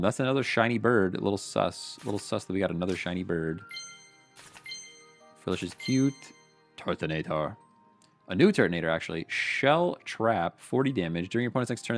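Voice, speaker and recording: A man with a stuffy, congested voice streams his commentary, reading card text aloud and then giving his blunt, wry take on it. His voice sits a little low. Faint music plays in the background. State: congested, sniffling. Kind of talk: casual pack-opening commentary.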